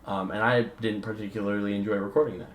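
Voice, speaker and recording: Low-voiced man in an echoey room. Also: little pitch movement, fast. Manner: emphatic, informal slang, rambling. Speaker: video essayist. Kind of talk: casual opinionated video essay talk. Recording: roomy echo with background noise.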